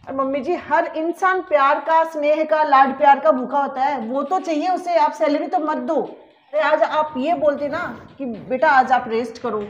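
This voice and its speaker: A woman's voice: high voice, reverberant, slight background noise, natural voice. A woman playing an earnest young working daughter-in-law who lectures her mother-in-law about fairness in a family skit. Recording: dull lo-fi recording.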